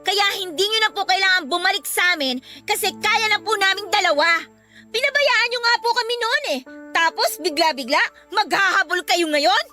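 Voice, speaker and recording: Bitter, defiant daughter, very high voice. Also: female voice, animated, normal speed, bright, forceful tone, soft background music, full character voice. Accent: Filipino. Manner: emphatic, confrontational. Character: bitter, hurt, defiant young daughter confronting her parents. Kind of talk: Filipino radio drama acting.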